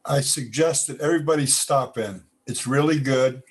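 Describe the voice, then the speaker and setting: Man, host, folksy, rambling, mid-pitched voice, video call. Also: normal speed, husky. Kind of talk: casual community chat.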